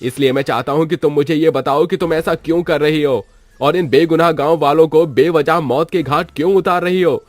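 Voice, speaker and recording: Man doing a high-pitched, bold young hero. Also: fairly even intonation, normal speed, no room sound, lightly acted. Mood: commanding. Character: bold young writer man defying evil with faith. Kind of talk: Hindi moral story dramatization.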